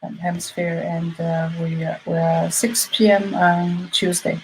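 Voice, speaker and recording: A woman's voice: fairly low pitch, little pitch movement, measured pace, darkish tone, a little hiss or hum, rough recording. A woman from Sichuan, China with a Chinese accent and Mandarin as her first language, a hypnotherapist speaking as an interview guest over a video call. She is friendly and laughs easily.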